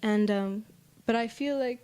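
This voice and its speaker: Higher-pitched American woman, thoughtful. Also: unhurried, a little mellow, clear, resonant tone. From United States. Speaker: singer-songwriter being interviewed. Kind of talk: casual interview. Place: apartment.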